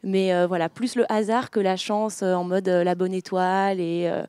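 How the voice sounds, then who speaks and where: Hesitant young French woman, mellow voice. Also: lowish voice, flat delivery, moderate pace, smooth, dry recording. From France. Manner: hesitant, many fillers and restarts. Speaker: author guest. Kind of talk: interview conversation.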